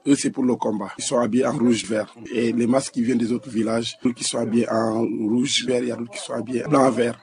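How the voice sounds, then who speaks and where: A man's voice: mid-range voice, darkish tone. A man, a local interviewee explaining things in spontaneous speech. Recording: field interview with crowd behind.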